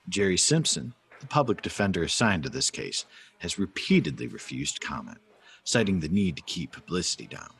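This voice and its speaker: A man acting as a radio reporter and storyteller with a very low, gravelly voice. He narrates a scripted drama in measured, folksy phrases, with plenty of rise and fall. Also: full character voice. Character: male radio news reporter telling a sentimental story.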